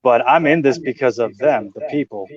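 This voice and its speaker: An American man as a guest, explaining at length in a measured way with frequent pauses. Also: medium pitch, muffled recording. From United States. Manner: measured, explanatory, many uh fillers. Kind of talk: casual interview talk.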